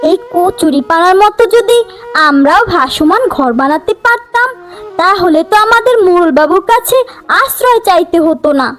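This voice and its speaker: A woman voicing an eager, hopeful little village girl in a cartoon, childlike and excitable, with music behind. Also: extremely high pitch, moderate pace. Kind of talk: cartoon folk tale dialogue. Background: background music.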